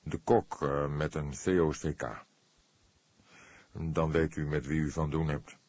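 A man voicing a calm, measured, wry old police inspector in an audiobook. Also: extremely low pitch, dry recording. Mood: friendly, measured. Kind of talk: audiobook character dialogue.

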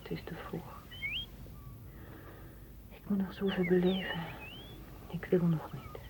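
An actress playing a solitary, dreamy woman in a radio drama, speaking in a hushed, poetic way. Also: fairly low pitch, little pitch movement, slow, whispered. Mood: wistful. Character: solitary, dreamy, independent woman longing for open space. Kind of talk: literary radio drama acting.

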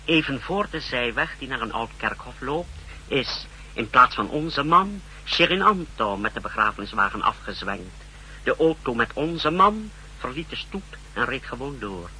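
A man's voice: mid-range voice, very animated, normal speed, pushed and bright. An actor playing a zealous secret service subordinate, reporting formally and deferentially to his chief in a 1960s radio drama. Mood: brisk, formal. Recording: faintly noisy 1960s radio recording.

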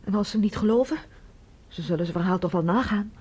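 An actor playing a cautious fellow prisoner in a science fiction radio play, anxiously questioning and reasoning. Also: male voice, high-pitched, fast, husky, highs cut off. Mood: worried, urgent. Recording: loud background noise and sound effects.

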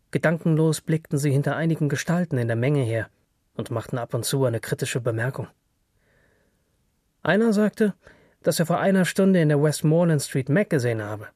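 A man's voice: mid-range voice, darkish tone, dry recording, clean studio sound. A man narrating literary fiction from a script.

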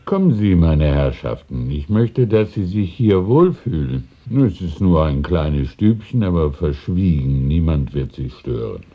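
A courteous old shopkeeper with a very low voice, deferential and discreet. He speaks in radio play style, on a dry, dull recording. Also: big pitch swings, measured pace, few pauses, slightly rough, no room sound, exaggerated voice. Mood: courteous, obliging. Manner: deferential, fussily polite. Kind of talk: German radio play acting.

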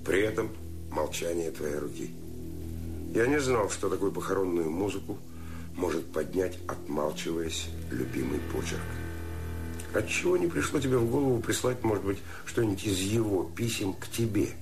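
A man's voice: low voice, expressive, moderate pace, music in the background. An actor playing a hurt, brooding man in a radio play, pleading in a letter to the woman he loves. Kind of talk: poetic radio play monologue.

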